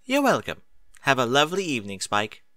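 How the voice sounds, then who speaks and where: A man's voice: medium pitch, huge pitch swings, brisk, bright, forceful tone, dry recording, clean studio sound. A man voicing a refined, dramatic lady unicorn pony with genteel, flowery phrasing in a storybook reading. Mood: gracious.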